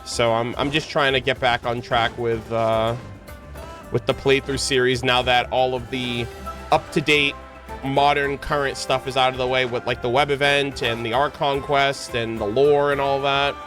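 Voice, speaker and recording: A man chatting casually on a livestream as he streams games, rambling along in a halting way. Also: medium pitch, flat delivery. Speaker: game streamer. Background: background music.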